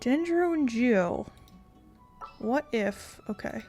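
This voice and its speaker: A woman's voice: a little high, expressive, takes it slowly, a little mellow, faint music behind. Chatty woman streamer. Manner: chatty, swears, talks to herself. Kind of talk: casual gameplay commentary.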